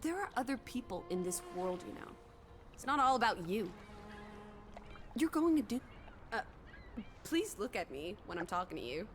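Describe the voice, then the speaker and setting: A woman's voice: medium pitch, big pitch swings, normal speed, long pauses, a little hiss or hum, natural voice. An actress playing a bold, inquisitive young woman companion in a video game drama, theatrical and bossy in manner. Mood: scolding. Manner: bossy, theatrical, teasing and scolding.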